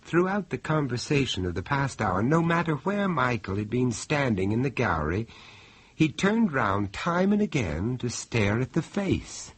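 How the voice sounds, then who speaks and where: An actor playing a genteel British art dealer who tells a dreadful tale in a radio horror play, polite, hesitant and dramatic. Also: male voice, medium pitch, big pitch swings, normal speed, husky, no echo, low-quality recording. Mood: genial, courteous. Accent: British English.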